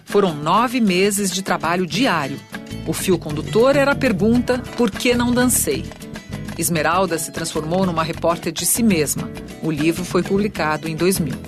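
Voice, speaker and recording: A woman reads documentary narration in an even, measured way. Clear background music plays under her voice throughout. She paces each sentence as a prepared script. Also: lowish voice.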